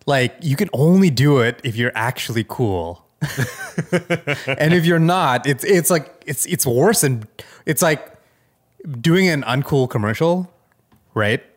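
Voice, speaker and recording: A man's voice: medium pitch, expressive, a little slow, pauses often. A man with a mid-range voice co-hosts a casual podcast about tech. He talks conversationally, qualifying his points as he goes and regularly checking that his listener agrees. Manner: hedging, checks in with "right?" often. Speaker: podcast co-host.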